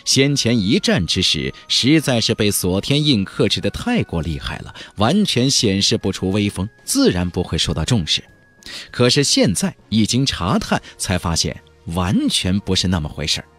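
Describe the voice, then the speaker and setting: A man reads fantasy fiction as a dramatic audiobook narrator. His middle-pitched voice is husky and moves through very wide intonation. Faint music runs behind him. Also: wildly swooping pitch, normal speed, a bit bright.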